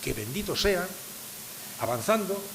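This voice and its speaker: A man's voice: high-pitched, very animated, a little slow. An older man from San Sebastián, Spain, giving a public lecture to a live audience, asking rhetorical questions and stressing his points. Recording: reverberant hall with faint hiss.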